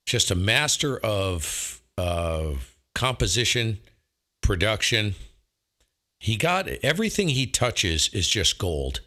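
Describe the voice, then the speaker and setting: A man's voice: on the low side, very animated, slow pace, sharp tone. A man chatting casually over ham radio in an enthusiastic, emphatic way.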